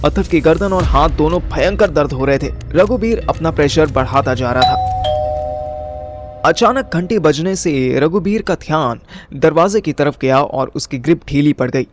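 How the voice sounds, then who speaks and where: A male narrator tells a scripted audio story. His pitch sits a little high for a man, and music plays underneath the narration. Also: animated, moderate pace.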